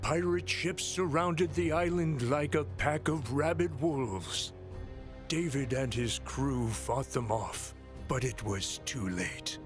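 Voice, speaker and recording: A man playing a solemn narrator who tells a dark pirate legend in a measured, dramatic scripted style. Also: mid-range voice, natural voice. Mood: solemn, foreboding. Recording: music under the narration.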